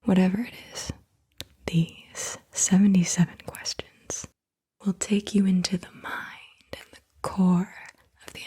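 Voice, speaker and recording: A woman ASMR host whispering softly and deliberately in short, breathy phrases, guiding the listener through questions. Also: fairly low pitch. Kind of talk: soft-spoken whispered ASMR, guided questions.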